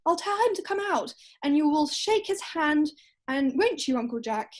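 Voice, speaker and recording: A woman's voice: high voice, lively intonation, moderate pace. A woman playing a sweet, bossy young Victorian girl, effusive and coaxing, in an amateur play reading. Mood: pleading, insistent. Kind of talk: amateur play read-through.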